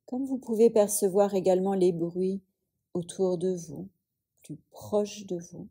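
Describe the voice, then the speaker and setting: A woman yoga teacher leading a guided relaxation in a soothing, unhurried way, leaving long pauses between phrases. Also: medium pitch, warm tone, breathy edge.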